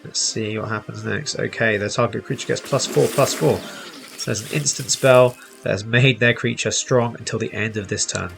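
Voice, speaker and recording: A man's voice: on the low side, fairly even intonation, moderate pace, vocal fry, over music. A man hosting a casual game tutorial, explaining each step as he plays. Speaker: host, gaming teacher. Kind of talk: casual tutorial commentary over gameplay.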